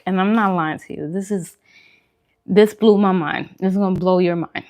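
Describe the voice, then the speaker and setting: A woman's voice: medium pitch, steady tone, normal speed, a little mellow, highs cut off. A woman hosting a food vlog, chatting casually to viewers in colloquial slang and repeating phrases for emphasis. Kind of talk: casual chat to viewers during a mukbang livestream.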